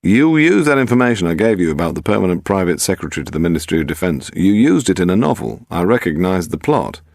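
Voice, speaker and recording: Man acting brusque, gravelly British senior detective. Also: sits low, very animated, fast, darkish tone, no room sound, clean studio sound, exaggerated voice. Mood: brusque, teasing. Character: brusque, wry senior male London police detective. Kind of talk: audiobook character dialogue.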